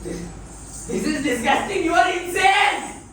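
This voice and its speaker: A man's voice: extremely high pitch, lively intonation, unhurried, fairly bright tone, heavily acted. Male actor as idealistic adult son, Indian accent, argumentative, stage drama, roomy echo, faint noise. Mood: outraged. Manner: theatrical, argumentative. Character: defiant, idealistic adult son, a passionate dancer. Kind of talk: stage play acting. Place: indoor theatre stage. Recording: echoey stage recording, distant mic, faint noise.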